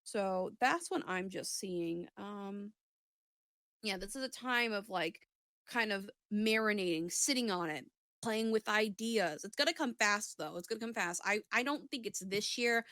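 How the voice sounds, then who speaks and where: A woman with a medium-pitched voice in a dry, close recording, talking casually as she does an intuitive reading. She feels her way through each thought, halting and restating it in a tentative manner. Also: a bit bright, dry recording. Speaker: psychic reader on a talk show.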